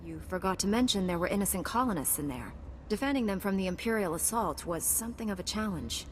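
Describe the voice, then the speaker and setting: A woman's voice: medium pitch, animated, fast talker, a little hiss or hum, natural voice. A woman voicing a composed, confident warrior heroine in a science fiction video game. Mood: composed, firm.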